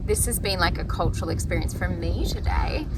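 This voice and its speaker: A woman's voice: on the low side, fairly quick. A woman hosting a casual interview on a beach, talking in a bubbly, chatty way with lots of fillers. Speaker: podcast host. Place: outdoors on a beach. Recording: outdoor noise, mic at a distance. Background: loud outdoor noise, boats and aircraft.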